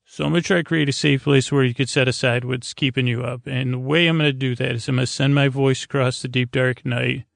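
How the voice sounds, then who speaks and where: Rambling, creaky-voiced male bedtime podcast host. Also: medium pitch, steady tone, dry recording, clean studio sound. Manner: meandering, tangent-prone, halting and stammering; languid, drawn-out delivery meant to lull.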